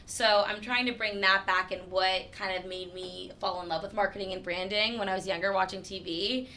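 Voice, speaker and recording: A woman's voice: medium pitch, fairly even intonation, normal speed, bright, forceful tone, a little hiss or hum. A woman in her twenties from New Hampshire, a guest chatting casually on a podcast, with lots of "like" fillers. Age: 20s. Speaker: guest, creative director.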